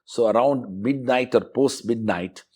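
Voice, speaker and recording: A middle-aged man from South India recalling his student years in a relaxed interview, reflective and fluent with frequent fillers. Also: mid-range voice, a little slow. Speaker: interviewee, university professor. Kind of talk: conversational interview.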